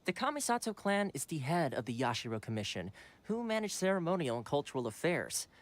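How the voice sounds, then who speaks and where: Smooth, animated young retainer. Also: male voice, a little high, a little fast, background noise. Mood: warm, explanatory. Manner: polite, playful, formal phrasing. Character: friendly, suave young male retainer, courteous and smooth-talking. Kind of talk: video game voice acting. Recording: game dialogue over background music.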